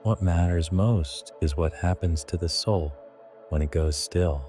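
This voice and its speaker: A man narrating a scripted guided sleep meditation in a hushed, deliberate way, in short phrases. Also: deep voice, soft background music.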